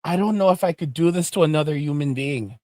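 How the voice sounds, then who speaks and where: A man's voice: on the high side, fast talker, a little mellow, no echo, clean studio sound. Ranting male commentary host. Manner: indignant, ranting. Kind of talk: reaction commentary.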